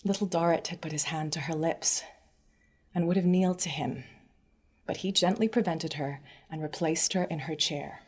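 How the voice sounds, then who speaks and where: A woman's voice: sits low, normal speed, a little mellow, slightly rough. A woman narrator giving a scripted reading of literary fiction.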